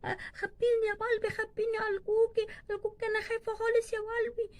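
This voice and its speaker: A woman's voice: very high voice, fairly even intonation, racing, a little mellow. A woman voicing a frightened, clingy little girl in doll play, whiny and pleading, with an Egyptian accent. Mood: scared, pleading. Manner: whiny, pleading, repeats herself.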